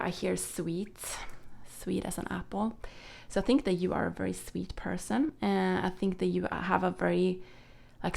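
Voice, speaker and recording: A young woman whose first language is Swedish gives a tarot card reading in a soft, hesitant way with many fillers. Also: on the low side, creaky. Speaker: tarot reader.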